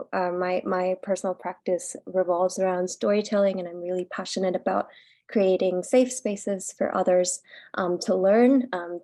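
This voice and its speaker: A woman's voice: mid-range voice, warm tone, dry recording. A woman from Indonesia introducing her project on a video call in a casual, filler-heavy way, tired but upbeat. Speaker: fellowship presenter.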